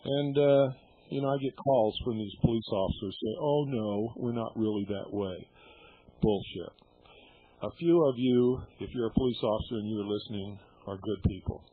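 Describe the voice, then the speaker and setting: A middle-aged radio host speaks bluntly and with open indignation, never shy of a curse word. His voice is husky and a little rough. It comes through a thin, tinny shortwave signal. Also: fairly low pitch, moderate pace, dry recording. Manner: blunt, indignant, swears freely.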